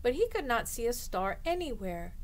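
A middle-aged woman reading a picture book aloud to children as a storytime host, expressively and deliberately, drawing out the ends of phrases. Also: a little high, big pitch swings. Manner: deliberate, drawing out phrase endings for young listeners. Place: small indoor room lined with bookshelves.

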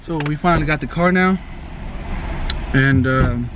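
A man's voice: a little high, unhurried, highs cut off. A man in his twenties vlogging on a handheld camera, chatty and teasing as he talks to the camera at an airport, in a car and at an outdoor expo. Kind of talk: casual travel vlog. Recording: loud background noise.

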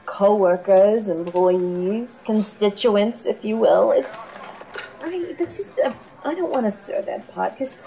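A woman's voice: mid-range voice, mellow, slight background noise, rough recording. A woman playing a talkative young office intern in an audio drama, easily flustered and often correcting herself. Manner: hesitant, self-correcting, emphatic.

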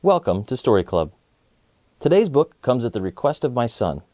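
A man's voice: mid-range voice, very animated, normal speed, mellow, dry recording, studio quality. A man in his thirties hosting a children's story channel and narrating a picture book. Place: small indoor set, dry sound.

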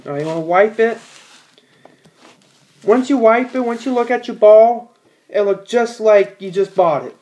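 Rambling teen guy with a mellow voice. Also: high voice, moderate pace, pauses often. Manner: rambling, plain-spoken, many fillers and restarts. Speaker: bowler demonstrating ball cleaning. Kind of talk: casual how-to vlog. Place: small room indoors at home.

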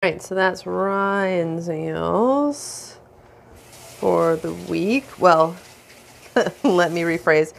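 A woman casually narrating her weekly meal prep in a home kitchen, with relaxed, dry running commentary. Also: fairly low pitch, extremely slow, vocal fry, pauses often. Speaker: home cook vlogger.

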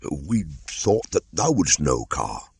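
A man's voice: very deep voice, big pitch swings, slow, creaky, husky, dry recording, heavily acted. A man voicing a fond old male bear in a storybook reading, earnest and a little hesitant. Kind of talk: audiobook character voice.

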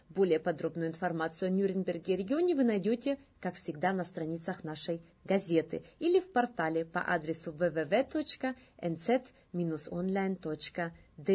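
A woman in her thirties reading scripted news as a presenter, with a slightly husky voice. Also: fairly low pitch, lively intonation, brisk, few pauses, darkish tone.

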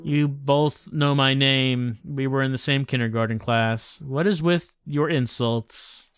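A man's voice: mid-range voice, fairly even intonation, measured pace, clean tone, no room sound. A man livestreaming a visual novel, reading the text aloud and adding casual, dry, tongue-in-cheek asides. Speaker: streamer playing a visual novel. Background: game music.

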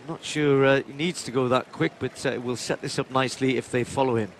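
A man giving live play-by-play athletics commentary, building to shouts as the race unfolds. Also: mid-range voice, fairly even intonation, a little fast. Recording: broadcast commentary over loud stadium noise.

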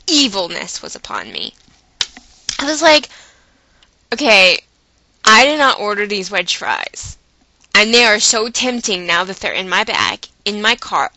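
A woman vlogger telling a personal story to her viewers in a chatty, animated way, with dramatic emphasis and acted-out asides. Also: mid-range voice, no room sound, low-quality recording. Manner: chatty, dramatic emphasis, acting out her own inner dialogue.

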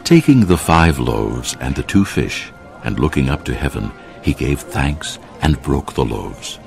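A man narrating a dramatised Bible audiobook in a measured, solemn storytelling way. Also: very deep voice, very animated, vocal fry, darkish tone, faint music behind.

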